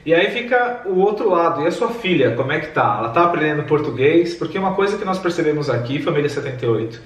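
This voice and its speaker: Man from Brazil, fairly high voice, chatty interviewer, echoey room, off-mic. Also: fast talker, far from the mic. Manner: lively, chatty questioning. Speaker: host, interviewer. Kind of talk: casual interview questions.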